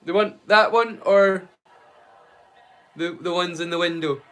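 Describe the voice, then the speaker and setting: A man's voice: high voice, a little slow, a bit bright. A man hosting a casual livestream, chatting to viewers in a rambling, stop-start way and repeating words mid-sentence. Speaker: livestream host.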